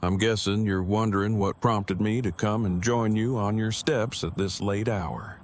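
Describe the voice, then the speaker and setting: An awkward, earnest man surviving the apocalypse played by a male actor speaks in a lowish voice. His phrasing is stiff, formal and long-winded. Clear night-time noise with crickets sits behind him in this audio drama. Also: steady tone, measured pace, lightly acted. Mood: composed. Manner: formal, wordy, stilted phrasing.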